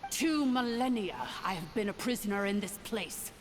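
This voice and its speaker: A woman's voice: mid-range voice, expressive, normal speed, faint music behind, natural voice. A woman playing a haughty, vengeful ancient machine villain in a video game, with a theatrical, scornful delivery. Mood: gloating menace. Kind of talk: scripted video game voice acting. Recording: long reverb, faint background noise.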